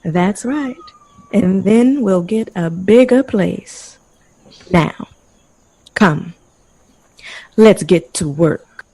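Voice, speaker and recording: Very slow, mellow, coaxing partner. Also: female voice, medium pitch, animated, slightly rough, heavily acted, poor audio. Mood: tender, coaxing. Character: tender, encouraging woman soothing her man about their future. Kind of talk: audio drama acting.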